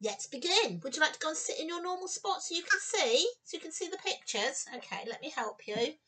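A woman in her fifties giving an expressive storytime read-aloud for young children, with exclamations and chatty asides. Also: sits high, brisk, distant mic. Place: small room at home, indoors.

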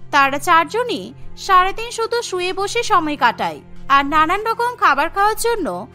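A woman's voice: very high-pitched, big pitch swings, moderate pace, sharp tone. A woman giving scripted narration for a cartoon story. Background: background music.

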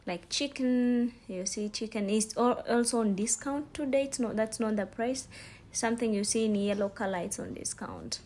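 Young Ugandan woman, casual and halting. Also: fairly high pitch, a little mellow. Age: young adult. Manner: informal, halting, repetitive explaining. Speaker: vlogger, student. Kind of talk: casual shopping vlog narration. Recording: store ambience noise, handheld phone. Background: faint ambient noise.

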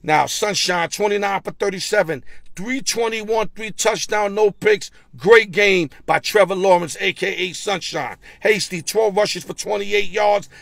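A middle-aged man hosting a casual NFL recap from his parked car, speaking into a phone at arm's length. He reads stats bluntly and fast, with slang and stammered repeats. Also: high voice, vocal fry, a bit bright, dry recording, studio quality. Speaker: sports betting show host. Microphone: phone held at arm's length.

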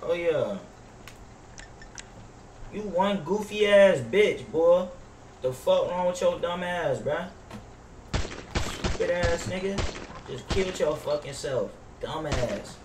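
A man's voice: a little high, animated, slow, pauses often. A young man livestreaming a game, talking in casual, brash, profane slang. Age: young adult. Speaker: game streamer. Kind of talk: casual livestream gaming banter. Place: indoor room at a gaming setup. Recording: roomy, distant sound with faint noise.

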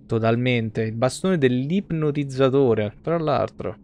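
A man's voice: mid-range voice, a little slow, darkish tone. A man in his thirties with a Roman Italian accent gives casual, rambling, foul-mouthed live gameplay commentary. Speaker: game streamer. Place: small indoor streaming room.

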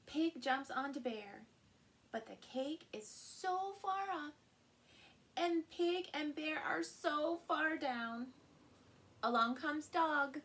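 A middle-aged woman with a fairly high voice tells a children's story very slowly. She reads expressively, playing up sound words and exclamations for young listeners. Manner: animated, slow, with playful sound words and exclamations. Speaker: storyteller and channel host. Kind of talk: expressive picture-book read-aloud for small children. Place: small furnished room indoors.

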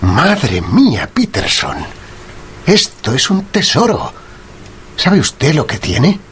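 A brilliant, eccentric gentleman detective for a radio drama. His speech is courteous, formal and precise, with theatrical, animated swings in pitch over a faint layer of background noise. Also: takes it slowly, exaggerated voice. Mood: impressed. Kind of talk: radio drama acting, Spanish dub style.

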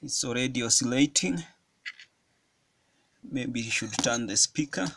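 A man giving a hands-on electronics tutorial at a table, explaining each step slowly with frequent fillers. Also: mid-range voice, very noisy. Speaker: electronics hobbyist demonstrating a circuit. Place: indoors at a wooden table.

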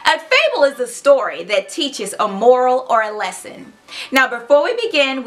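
A woman in her thirties hosting a children's read-aloud, with an expressive, clearly paced, teacherly storybook delivery. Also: sits high. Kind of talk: storybook narration to young children. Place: furnished room indoors.

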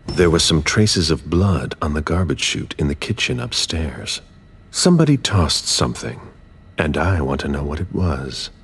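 An actor voicing a stern vampire investigator in a video game, curt and intimidating, with a commanding, interrogating manner. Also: male voice, extremely low pitch, sing-song, normal speed, creaky, breathy edge, heavily acted. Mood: suspicious, insistent. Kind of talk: video game cutscene acting.

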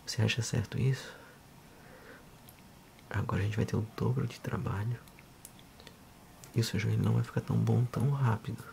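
A man in his thirties with a Brazilian accent, speaking softly and unhurriedly in an ASMR role-play as a physiotherapist addressing the listener. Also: lowish voice, steady tone, gravelly, slight background noise. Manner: soft, unhurried, hushed.

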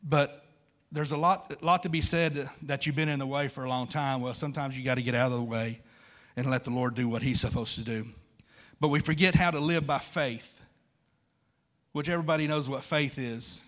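A man's voice: medium pitch, steady tone, normal speed, a bit bright. A man, preaching an informal, conversational sermon and repeating phrases for emphasis. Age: 50s. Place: large church stage at a wooden pulpit.

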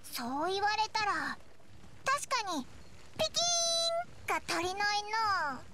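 A woman voicing a tiny, childlike fairy companion in an anime game, cute, squeaky, blunt and excitable. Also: takes it slowly, heavy background noise, exaggerated voice. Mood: puzzled, blunt. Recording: studio voice under loud game music.

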